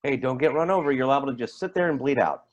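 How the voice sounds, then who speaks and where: An actor playing a bitter, sarcastic man in a staged play reading, needling others with halting repeats. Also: medium pitch, muffled recording, close to an everyday voice. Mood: bitter sarcasm. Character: bitter, sarcastic man feuding with his sister's partner.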